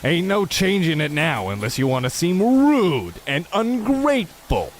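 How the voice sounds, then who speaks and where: A man playing a loud, wisecracking mob goon with slangy tough talk in a comic radio play. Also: on the high side, big pitch swings, a little slow, slight background noise. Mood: smug threat. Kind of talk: old-time radio play parody.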